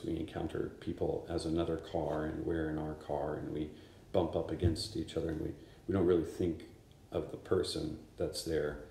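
A man in his fifties, a priest, reading the Gospel and giving a conversational, unhurried homily in a small chapel. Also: very deep voice, creaky, warm tone, echoey room, a little hiss or hum. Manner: conversational, unhurried preaching with gestures. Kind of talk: homily at daily Mass. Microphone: camera in front of him.

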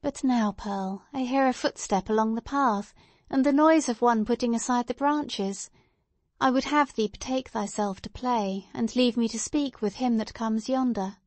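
A woman's voice: a little high, moderate pace, a little mellow, dry recording, lightly acted. A woman voicing a sombre, firm mother in a storybook, speaking in an earnest, admonishing way with archaic phrasing.

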